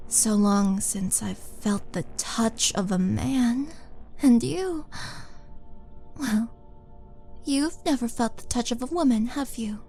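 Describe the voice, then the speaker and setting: A woman performs a muscular, bloodthirsty half-orc woman in an intimate roleplay. She speaks extremely slowly in a slightly high voice, menacing and teasing, with breathy dips toward a whisper. Music plays clearly behind her. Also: dark tone. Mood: seductive, softening. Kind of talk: intimate audio roleplay acting. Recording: background music under voice.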